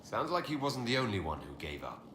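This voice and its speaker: An actor playing a level-headed leader in a video game, speaking in a measured, direct, questioning way. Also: male voice, mid-range voice, big pitch swings, lightly acted. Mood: inquiring. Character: level-headed, probing male leader of a fantasy order. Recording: roomy echo, faint ambient noise.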